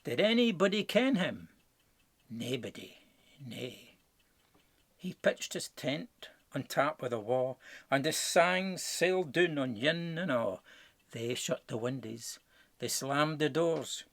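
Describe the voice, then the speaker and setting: A man with a Scottish accent narrating a rhyming storybook in Scots with a theatrical, expressive delivery. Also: fairly high pitch, slow. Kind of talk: dramatic rhyming verse storytelling.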